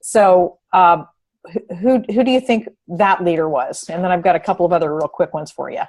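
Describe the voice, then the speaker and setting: A woman co-hosting a talk show, speaking earnestly and haltingly in an unscripted discussion. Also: on the low side, darkish tone. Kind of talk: unscripted discussion, reading quotes aloud.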